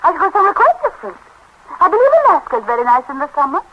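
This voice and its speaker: An actress playing a flighty, self-dramatizing, worldly English wife with a theatrical, flippant manner, in a 1930s radio comedy style. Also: female voice, high voice, sing-song, racing, muffled recording. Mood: self-pitying. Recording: hissy 1930s radio broadcast.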